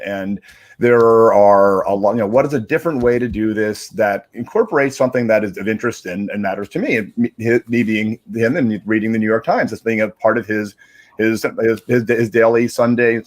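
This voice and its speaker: Man, mid-pitched voice, casual explainer, halting, rambling, repeats words, livestream chat. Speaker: digital media strategist, guest reader.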